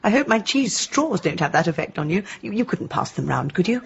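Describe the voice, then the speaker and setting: An actress playing a genteel, prim and chatty village lady who gently teases, in a radio drama. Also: on the low side, sing-song, brisk, vocal fry, lo-fi sound, a little hiss or hum. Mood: chatty, concerned.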